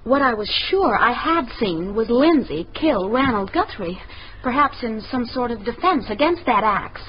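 A woman's voice: a little high, animated, moderate pace, close to an everyday voice. An actress playing a strong-willed young woman in a radio mystery, direct, articulate and insistent. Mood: earnest, defiant. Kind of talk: radio mystery drama acting. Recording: muffled radio recording, faint noise.

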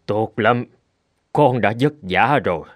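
An actor playing a calm, wise old abbot in a cartoon folk tale, speaking in a measured, teacherly manner. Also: male voice, lowish voice. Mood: solemn. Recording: music under the voice.

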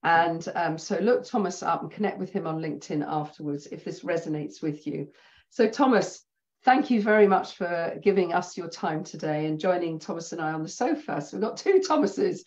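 A middle-aged woman hosting an interview on a video call, chatty and quick to laugh. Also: fairly low pitch, expressive. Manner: warm, chatty, laughs easily. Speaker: host. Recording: slightly dull video-call audio.

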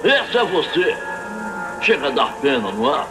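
Casting: brash joking mentor, high voice, animated swings, preachy cartoon emphasis. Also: male voice, moderate pace, low-quality recording. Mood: brash, emphatic. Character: brash wisecracking cartoon mentor lecturing against drugs. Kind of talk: dubbed cartoon acting. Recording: old cartoon soundtrack with music.

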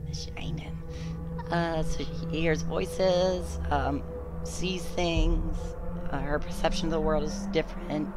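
Slow, hoarse woman streamer. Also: deep voice. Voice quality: raspy. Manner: halting, many fillers, explaining. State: hoarse from recovering illness. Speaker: streamer and host. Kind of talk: casual let's-play chat. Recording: loud game music behind.

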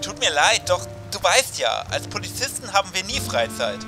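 Man playing a brazen detective, high-pitched and lively. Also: moderate pace. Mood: apologetic. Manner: casual, unapologetic, insistent. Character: brazen, bored, scheming male police detective, the partner. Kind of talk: German audio drama acting. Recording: rain and music behind.

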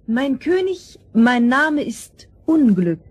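A woman's voice: sits high, big pitch swings, a little hiss or hum, heavily acted. A woman playing a sorrowful young maiden disguised as a servant boy in a fairy-tale radio play, speaking plaintively. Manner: plaintive, slow.

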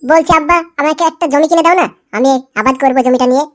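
A woman's voice: high voice, fast talker, close to an everyday voice. A woman voicing a feckless, eager young village man with a whiny, insistent manner in a comic cartoon. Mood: pleading.

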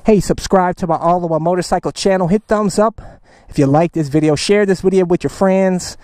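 An enthusiastic man talks straight into a helmet camera from a parking lot, hyping cheap riding gear in a loose, salesy way. His voice sits a little high for a man, and the sound is dry and close with no room around it. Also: fairly quick, darkish tone, dry recording. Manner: enthusiastic, salesy, slangy with "man" and "baby", many fillers. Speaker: motovlogger reviewing gear. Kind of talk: casual moto vlog review. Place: outdoors in a parking lot beside his motorcycle.

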